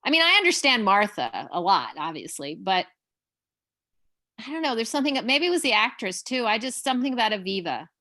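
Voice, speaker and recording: Bright-voiced chatty woman playwright. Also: a little high, big pitch swings, no echo, clean studio sound. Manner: chatty, halting, laughs often. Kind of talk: casual conversation.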